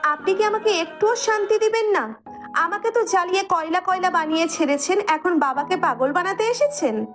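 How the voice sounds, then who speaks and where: A woman voicing a sharp-tongued young wife and mother in an audio story, firm, exasperated and scolding. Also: very high-pitched, normal speed, soft background music. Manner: scolding, no-nonsense.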